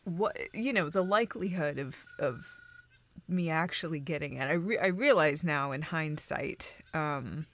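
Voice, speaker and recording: A woman acting a self-deprecating, dryly sarcastic young woman confiding haltingly in a close friend, in an intimate, naturalistic audio roleplay. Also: low voice. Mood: deflated, awkward. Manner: hesitant, halting, deadpan joking.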